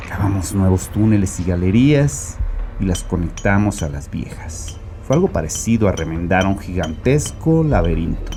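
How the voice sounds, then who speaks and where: A tormented, penitent ex-convict with a low voice. He tells his story like a theatrical confession in a horror audio drama, brooding and intense. Music plays clearly behind him. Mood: bitter, grim. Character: haunted, penitent male ex-convict confessing from a bunker. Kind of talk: dramatic first-person horror monologue.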